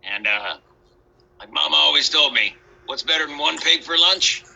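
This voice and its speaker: A man playing a hungry, hapless Big Bad Wolf in a comic children's story, hesitant and rambling with deadpan asides. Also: a little high, moderate pace, creaky, highs cut off, full character voice. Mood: musing, hungry.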